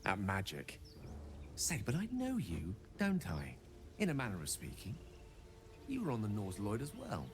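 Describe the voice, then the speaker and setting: Male wizard for fantasy game: verbose, affable, faintly pompous, very lively intonation. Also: lowish voice, normal speed, pauses often, background noise. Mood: sheepish, friendly. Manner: florid, wordy, theatrical. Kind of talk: dramatic game dialogue. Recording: music behind the voice.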